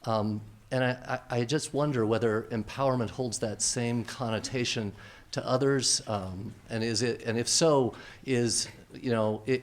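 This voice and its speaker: A man asking a panel a question from the floor, thoughtful and hesitant, with frequent fillers. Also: medium pitch. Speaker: audience member asking a question. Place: conference hall.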